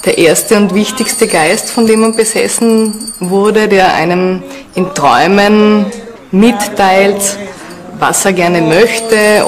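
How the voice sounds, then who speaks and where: Woman expert explaining stuff, middle pitched voice, music behind. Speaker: interviewed expert. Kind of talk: explanatory interview answer.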